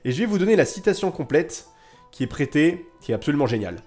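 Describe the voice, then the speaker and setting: A man's voice: on the high side, sing-song, fast, a little mellow, soft background music. A man livestreaming history stories to his viewers in a casual, theatrical way, repeating phrases for suspense and emphasis. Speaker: live streamer telling history stories to chat. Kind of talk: casual animated storytelling to viewers.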